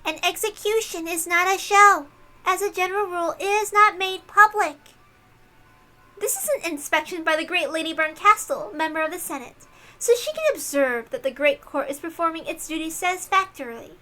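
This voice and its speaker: A woman's voice: extremely high pitch, normal speed, pushed and bright, soft background music, pitched above the performer's own voice. A woman voicing a prim, ruthless young female inquisition officer, formal and coldly dutiful, in a visual novel read-aloud. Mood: stern, ruthless.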